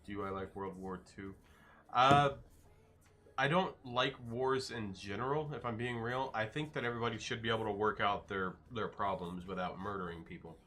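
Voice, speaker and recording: A man's voice: lowish voice, normal speed, sharp tone. A man streaming live, casually reading and answering his chat with loose, joking replies.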